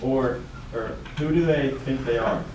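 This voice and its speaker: A man's voice: medium pitch, a little slow, lightly acted. A man playing a curious, easily confused schoolboy in a scripted puppet show. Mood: challenging. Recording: very echoey room, distant mic, clear noise.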